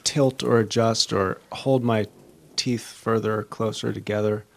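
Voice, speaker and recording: A man's voice: fairly low pitch, fairly even intonation, a little slow, vocal fry. A man in a meditation group carefully describing a worry, earnest and slightly anxious. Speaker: retreat participant. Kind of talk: asking in group Q&A.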